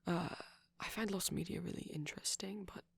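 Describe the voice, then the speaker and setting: A man playing a shy, gentle vampire boyfriend in intimate ASMR roleplay, speaking softly and hesitantly, often close to a whisper. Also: high-pitched, fairly quick, no echo, heavily acted. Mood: softly enthusiastic, hesitant. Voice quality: soft, breathy, husky, often near a whisper. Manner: hesitant, bashful, rambling tenderly.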